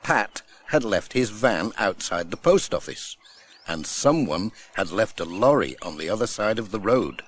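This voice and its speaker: A man's voice: on the low side, expressive, unhurried. A man reading a children's story as a scripted audiobook narrator.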